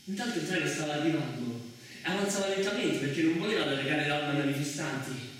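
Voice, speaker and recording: A man's voice: on the high side, quick pace. A man telling a first-person story as a colloquial, dramatic stage monologue. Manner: animated, colloquial. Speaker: solo theatre performer narrating. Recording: echoey hall from far off, faint noise.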